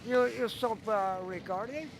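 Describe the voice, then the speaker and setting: A man's voice: extremely high pitch, vocal fry. A man from Portugal, chatting hesitantly with a stranger on the street, full of fillers. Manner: hesitant, halting, many fillers. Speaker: passerby. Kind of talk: casual street chat.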